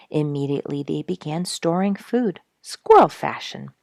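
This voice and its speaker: Low-voiced woman storyteller with a creaky edge. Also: a little mellow, dry recording, studio quality. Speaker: story reader and show host. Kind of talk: expressive scripted storybook narration.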